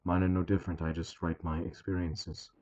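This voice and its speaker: A man playing a grave, cryptic horror writer in a 1950s radio interview, speaking in a measured, ominous manner. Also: sits low, little pitch movement, a little mellow, close to an everyday voice. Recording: muffled vintage radio interview tape.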